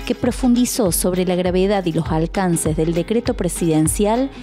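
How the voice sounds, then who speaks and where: Woman narrating over soft music. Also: fairly low pitch, moderate pace.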